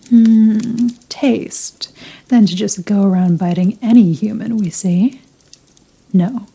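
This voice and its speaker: A woman acts out an elder vampire woman for an ASMR roleplay, haughty and possessive. Her voice is very dark and mellow, a little high for a woman, and soft enough to slip toward a whisper. She draws her phrases out slowly, teasing and condescending. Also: rough recording. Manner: slow, condescending, seductive, drawn-out phrasing. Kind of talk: ASMR roleplay, scripted character acting.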